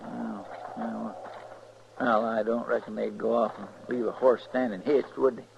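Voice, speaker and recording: An actor playing a folksy, deferential deputy sidekick with a drawling rural western American accent in a radio drama. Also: male voice, fairly low pitch, level tone, moderate pace. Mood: uneasy. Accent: rural American West. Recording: muffled radio recording, clear background noise.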